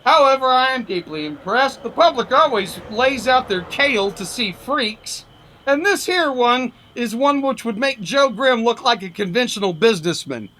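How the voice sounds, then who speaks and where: A brash boxing manager from an old pulp comedy , voiced by a man reading aloud. He spins his story in a high, sharp, declamatory voice, full of slangy wisecracks. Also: animated, moderate pace, heavily acted, low-quality recording. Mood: dazed, wry.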